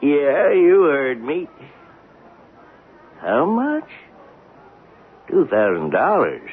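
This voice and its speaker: Tinny old radio, shifty old beggar. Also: male voice, fairly high pitch, wildly swooping pitch, slightly rough, full character voice. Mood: excited, cagey. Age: older. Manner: halting, folksy, ungrammatical. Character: shifty old male beggar and coin scrounger, suspicious, miserly. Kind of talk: 1970s radio drama acting. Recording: tinny, band-limited, noisy old radio broadcast.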